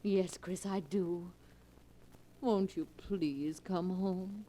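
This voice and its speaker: A woman's voice: lowish voice, extremely slow, dark tone, a little hiss or hum. An actress playing a smothering, devoted wife, sweetly imploring in a theatrical 1940s radio style. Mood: coaxing.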